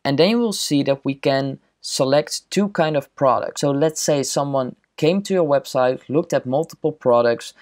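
A man walking through a software setup step by step in a screen-recorded tutorial, steady and explanatory. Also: mid-range voice, moderate pace, hardly any pauses.

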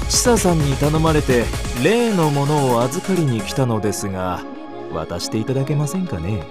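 A man's voice: medium pitch, natural voice. A man voicing a wry, polite intellectual in a game, musing with faint condescension in conversational dialogue. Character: wry, polite male intellectual in a lab coat. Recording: music under the voice.

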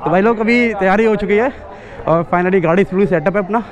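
A young man recording a casual motorbike vlog through a helmet camera, chatting excitedly with friends on a crowded festival street. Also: high-pitched, fairly quick. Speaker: motovlogger. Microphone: helmet camera while riding a motorbike. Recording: loud crowd and traffic noise outdoors.

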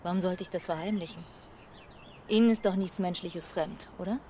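A woman's voice: fairly low pitch, moderate pace, dark tone, a little hiss or hum, natural voice. A woman playing a middle-aged, poised and evasive wife under questioning in a naturalistic radio crime drama, composed and slightly haughty. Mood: cool, candid. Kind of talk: naturalistic German radio play acting. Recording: faint outdoor ambience with birdsong. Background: birdsong on a terrace.

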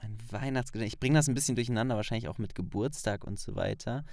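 A man's voice: mid-range voice, fast, creaky, a little mellow, dry recording, studio quality. A man hosting a podcast, chatting informally and colloquially with a guest. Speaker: podcast host, interviewer. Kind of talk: casual chat.

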